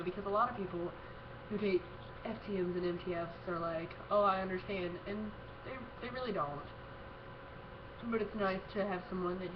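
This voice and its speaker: A young man with a bad cold, rambling casually in a video diary with lots of fillers. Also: high-pitched. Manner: rambling, heavy on "you know" and "um" fillers. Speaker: vlogger. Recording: dull lo-fi audio with steady hiss.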